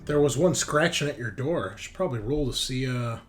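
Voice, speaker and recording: Man, game master, casual rulings and narration, mid-pitched voice, music underneath. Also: animated, fairly quick. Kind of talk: narrating and refereeing a tabletop game. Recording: music playing behind.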